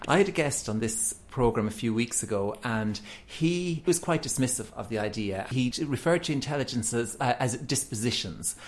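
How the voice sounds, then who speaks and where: A man with an Irish accent hosting a radio education programme, reading a scripted introduction and then asking interview questions in a clear, measured way. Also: medium pitch, lively intonation. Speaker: radio show host.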